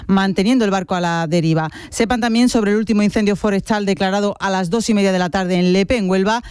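A man reading the hourly radio news bulletin in a steady, formal way. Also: high voice, fast, clear, resonant tone, dry recording, clean studio sound. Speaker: radio newsreader.

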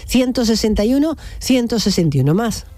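A woman's voice: on the high side, big pitch swings, background noise. A woman from Castilla-La Mancha hosting a live morning radio show, chatting playfully with callers. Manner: chatty, playful, quick to banter.

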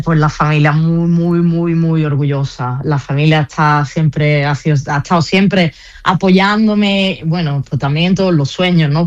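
A woman's voice: low-pitched, dull audio. A young woman with a slight Andalusian Spanish accent tinged with Italian, speaking as a team press officer in a radio interview, chatty and full of fillers and restarts. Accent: Andalusian Spanish mixed with Italian. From Spain.

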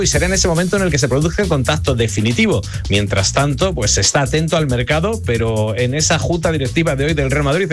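A man with an accent from Spain delivering a sports news report on the radio. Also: medium pitch. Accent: Spanish from Spain. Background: music bed.

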